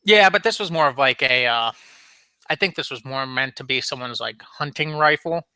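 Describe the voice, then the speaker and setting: A man's voice: medium pitch, moderate pace, sharp tone, dry recording. A man chatting casually as a podcast co-host, rambling along with frequent ums and restarts. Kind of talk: casual chat about firearms.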